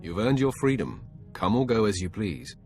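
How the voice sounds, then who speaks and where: An actor playing a stern Sith master in a video game, speaking with measured, formal authority. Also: male voice, lowish voice, very animated, a little mellow, faint music behind. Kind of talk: dramatic video game voice acting.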